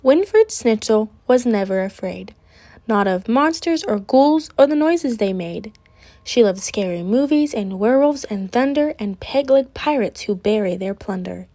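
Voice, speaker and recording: A woman reading a children's picture book aloud with an expressive, deliberate delivery. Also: on the high side. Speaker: storybook reader.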